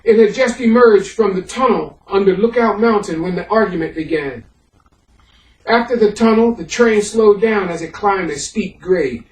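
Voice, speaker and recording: A man presents and reads a history book aloud in the role of a historian. His delivery moves between halting explanation and emphatic reading. He is recorded in a very echoey room, at a distance from the microphone. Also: high voice. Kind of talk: introduction and audiobook-style reading of a history book.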